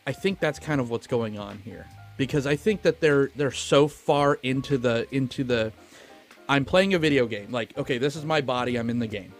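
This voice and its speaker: A man's voice: mid-range voice, lively intonation, normal speed. Stop-start male podcaster over music. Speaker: podcast co-host. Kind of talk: casual film discussion.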